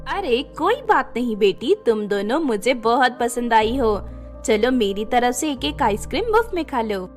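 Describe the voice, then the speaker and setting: A woman's voice: a little high, sing-song, normal speed, soft background music. A woman voicing a sweet-talking female ice cream vendor with a coaxing hawker's manner in a story. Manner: sing-song street hawker, coaxing.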